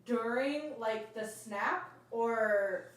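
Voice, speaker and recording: A woman joins a casual chat from some distance off the mic. Her voice is slightly high, and strong room echo surrounds it. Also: extremely slow. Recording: very echoey, away from the mic.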